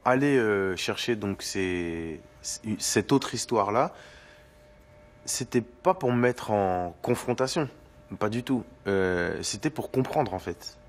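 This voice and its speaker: A man speaking thoughtfully and softly in an interview. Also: lowish voice, expressive, takes it slowly. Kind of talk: interview testimony.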